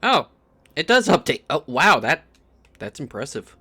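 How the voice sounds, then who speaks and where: A man's voice: on the high side, very animated, unhurried, sharp tone, slightly rough. A man giving casual playthrough commentary as a gaming streamer, sometimes reading on-screen text aloud. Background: game music.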